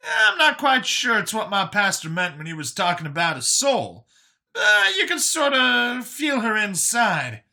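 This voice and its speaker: Man acting out high-pitched, excitable folksy survivor. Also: measured pace, vocal fry, heavily acted. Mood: earnest explaining. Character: older man in youthful body, eager scrappy fugitive survivor.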